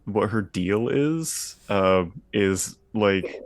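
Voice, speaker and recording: A man's voice: fairly low pitch, expressive, very slow, no room sound. A man chatting casually about a tabletop game as a podcast panelist.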